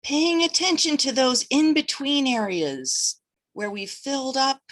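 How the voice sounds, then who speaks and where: A woman guiding breathwork and meditation as an instructor, gently giving instructions in short phrases with pauses between them. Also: high voice, expressive.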